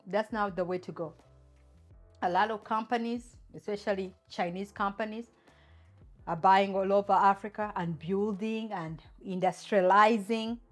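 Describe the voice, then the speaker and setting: A woman from Uganda hosts her own channel and speaks straight to camera, making emphatic points and asking many rhetorical questions. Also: mid-range voice. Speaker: channel host. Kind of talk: opinion talk to camera.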